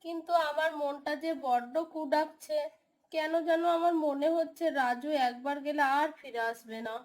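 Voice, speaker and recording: A woman plays a doting village mother in a cartoon who tends to fret over her son. Her voice is high and expressive. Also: normal speed, close to an everyday voice. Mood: anxious. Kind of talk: cartoon voice acting.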